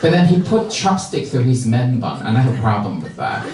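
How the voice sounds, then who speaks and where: A young man from Taiwan with a Taiwanese accent, performing a stand-up comedy set with camp, bitchy deadpan asides. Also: medium pitch, a little fast. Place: comedy club stage with a laughing crowd. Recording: echoey club, distant mic, loud crowd noise.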